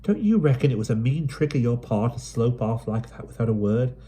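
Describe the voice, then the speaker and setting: A man's voice: medium pitch, very animated, fairly quick, warm tone, slightly rough, voice pushed up. A man voicing a plucky, chatty London street girl with broad cockney-style dialect in an audiobook. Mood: cheery. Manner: bold, chatty, upbeat. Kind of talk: audiobook character voice.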